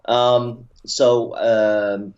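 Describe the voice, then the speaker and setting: A man's voice: mid-range voice, flat delivery, extremely slow, a little mellow. A man in his forties, born in Taiwan and raised in the United States, telling his story in a rambling way as an interview guest, with many fillers and restarts. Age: 40s. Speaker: interview guest, martial arts promoter. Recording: dull lo-fi sound.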